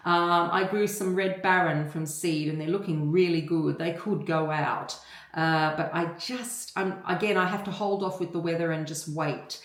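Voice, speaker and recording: A woman's voice: lowish voice, steady tone, normal speed. Chatty Australian woman in an echoey space. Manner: chatty and rambling, many ums. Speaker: gardening vlogger. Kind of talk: casual talk to camera. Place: home kitchen garden.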